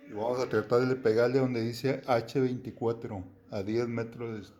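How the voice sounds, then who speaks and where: Man, 50s, from Texas; slow-paced, soft warm tone, plain explaining to camera. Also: medium pitch, little pitch movement. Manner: plain, unhurried explaining with pauses and restarts. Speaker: slingshot shooter. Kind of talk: casual demonstration to camera.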